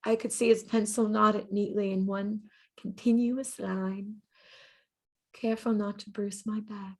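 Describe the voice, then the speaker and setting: A woman playing the gentle ghost of an artist's lovelorn mistress, delivering a halting, wistful dramatic monologue in an audio play. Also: medium pitch, level tone. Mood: tender, resigned. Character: dead gentle lovelorn woman, artist's former lover, remembering.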